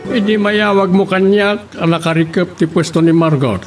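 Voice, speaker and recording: A man's voice: high-pitched, fairly even intonation, moderate pace, over music, lightly acted. A man acting an office boss in a radio romance drama, with an Ilocano accent. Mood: stern, instructing.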